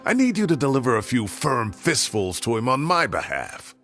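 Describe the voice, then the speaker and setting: An actor playing a fussy, indignant retired professor of advanced age, grumbling and theatrical, in a game scene with faint music. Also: male voice, medium pitch, huge pitch swings, normal speed, fairly bright tone, full character voice. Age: older.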